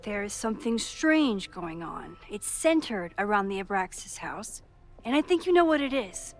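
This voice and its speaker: A woman's voice: mid-range voice, sing-song, moderate pace, a little hiss or hum. A woman playing an inquisitive young photographer and amateur sleuth in a video game, casual, dry and probing. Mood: probing, insistent. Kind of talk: naturalistic video game acting.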